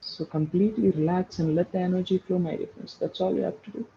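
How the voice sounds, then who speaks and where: A man leading a guided meditation, giving slow, soothing instructions and repeating short phrases for emphasis. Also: high voice, fairly even intonation, creaky, mellow, highs cut off, a little hiss or hum. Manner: repeats phrases for emphasis, addresses listeners as friends.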